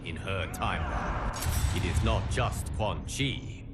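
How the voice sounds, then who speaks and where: A man voicing a solemn, godlike male guardian of the timelines, narrating game cutscenes in a grave, measured way. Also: low voice, loud music behind the voice.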